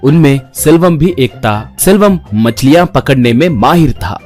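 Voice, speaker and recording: A man with a medium-pitched voice narrates a scripted children's tale. Music plays behind his storytelling. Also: animated, moderate pace, a little mellow.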